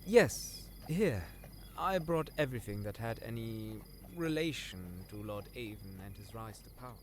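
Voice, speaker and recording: A man's voice: mid-range voice, expressive, moderate pace, slight background noise, natural voice. A man playing a polite, earnest young scholar in a fantasy audio drama, speaking formally and a little hesitantly. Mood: eager.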